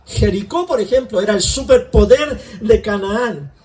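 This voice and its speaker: A man's voice: high-pitched, expressive, normal speed, darkish tone, recorded from a distance, slight background noise. A man preaching a conversational sermon in church, full of rhetorical questions. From Chile. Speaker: pastor.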